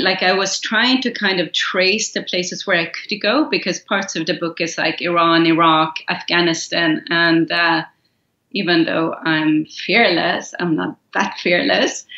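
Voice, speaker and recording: A woman's voice: lowish voice, pushed and bright. A woman in her forties from Estonia, raised in Sweden, with a Swedish-accented English, talking thoughtfully with many fillers as an author guest over a video call. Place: room at home. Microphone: earphone headset mic on video call. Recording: roomy, slightly dull video call audio.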